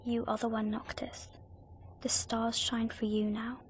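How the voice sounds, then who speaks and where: A woman playing a gentle, solemn young noblewoman in a video game, speaking formally and poetically in a breathy near-whisper. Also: on the high side, completely flat delivery, measured pace, slight background noise, close to an everyday voice. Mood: tender, solemn.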